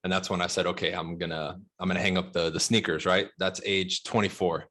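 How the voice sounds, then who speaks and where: A man's voice: low voice, level tone, a little fast, pushed and bright. A man in his mid-thirties with an American accent, talking casually and emphatically as an interview guest, with slangy check-ins. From Los Angeles, United States. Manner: slangy, emphatic, often checks with "right?" and "bro". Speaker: interview guest, real estate broker.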